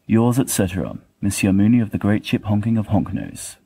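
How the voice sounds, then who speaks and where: Low, husky, wry bookish man acting a letter-writer. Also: big pitch swings, a little fast, mellow, exaggerated voice. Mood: fond, exasperated. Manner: pedantic, dryly self-deprecating, wordy. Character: wry bookish young male letter-writer, fussy and affectionate. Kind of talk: dramatic reading of letters.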